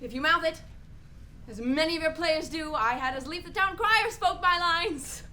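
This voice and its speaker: A bright, very high voice from a woman plays a volatile young prince. The delivery is bitter and mocking, in classical verse style for a radio play, with faint noise behind it. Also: moderate pace. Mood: instructive, exacting. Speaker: actor playing Hamlet. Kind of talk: Shakespearean radio play acting.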